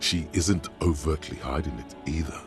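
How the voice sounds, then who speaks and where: A man voicing a detective's knowing, probing inner voice as measured narration in a video game. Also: extremely low pitch. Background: game music.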